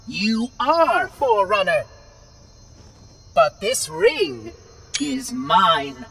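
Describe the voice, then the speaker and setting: High-pitched, prim robot monitor. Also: male voice, wildly swooping pitch, extremely slow, gravelly, pushed and bright, recorded from a distance, a little hiss or hum, heavily acted. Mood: cold, menacing. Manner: prim, formal, officious. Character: fussy, cheerful robot monitor turned coldly possessive. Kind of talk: video game voice acting.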